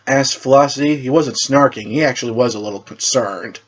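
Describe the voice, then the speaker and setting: A man's voice: mid-range voice, a little fast, dull audio. A man reading scripted narration as the storyteller of a superhero tale.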